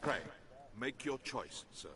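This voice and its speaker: An actor playing a stern, formal court official in a fantasy video game, using measured archaic diction. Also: male voice, medium pitch, lively intonation, sharp tone. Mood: stern, commanding.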